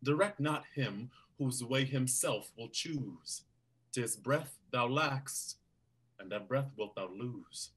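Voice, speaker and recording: A man's voice: medium pitch, fairly bright tone, husky. A man playing a loyal duke giving cautious advice in a Shakespeare reading over a video call. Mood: cautioning. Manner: measured, advising.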